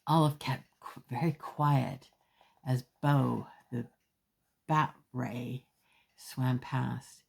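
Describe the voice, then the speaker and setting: A woman's voice: rumbling low, fairly even intonation, very slow, vocal fry, darkish tone, breathy edge. A woman storyteller reading a children's picture book aloud expressively, with emphatic phrasing and small asides to young listeners.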